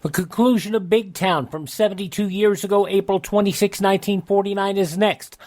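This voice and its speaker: A man's voice: high-pitched, steady tone, brisk, darkish tone, no echo, studio quality. A man announcing as a radio show host, reading scripted program links.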